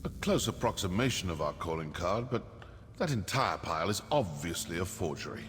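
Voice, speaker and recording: Sly assassin, low and swooping. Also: male voice, big pitch swings, a little fast, a bit bright. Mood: wry, probing. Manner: wry, conversational, theatrical. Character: sly, wry male Dark Brotherhood assassin, menacing yet curious. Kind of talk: video game character dialogue. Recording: long reverberant hall, music behind.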